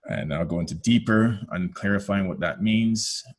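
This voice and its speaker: Canadian guy giving a tech webinar, lowish voice, lots of ums, kinda explainy. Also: moderate pace. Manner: explanatory, many "um" and "uh" fillers, self-corrections. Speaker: technical team lead presenting a product webinar. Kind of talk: webinar presentation.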